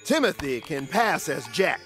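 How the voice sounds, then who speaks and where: A theatrical eccentric shopkeeper in a cartoonish game. His voice is high and swooping, with slow, genteel grandeur. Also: very animated, a bit bright, faint music behind. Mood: conspiratorial. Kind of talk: cartoonish game cutscene acting.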